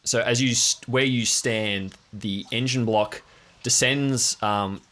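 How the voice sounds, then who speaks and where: A man's voice: medium pitch, steady tone, slow pace, fairly bright tone. A man acting as game master, narrating an improvised tabletop game and describing scenes to players.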